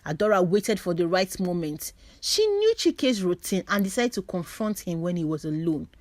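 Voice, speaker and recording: Expressive woman narrating a dramatic story. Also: lowish voice, moderate pace, no room sound.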